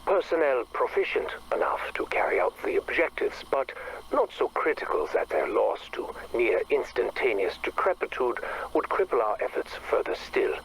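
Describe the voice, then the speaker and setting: A man playing an obsessive, bitter scientist in a game audio log, speaking formally and precisely with a breathy, brooding delivery. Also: medium pitch, big pitch swings, normal speed, heavily acted, rough recording. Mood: formal, measured. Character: obsessive, bitter male scientist recording private audio logs.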